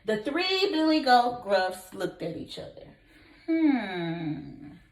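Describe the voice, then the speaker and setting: A woman teacher reading a story aloud to young children in an expressive, deliberate way, prompting them with questions. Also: on the high side. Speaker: kindergarten teacher. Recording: echoey room.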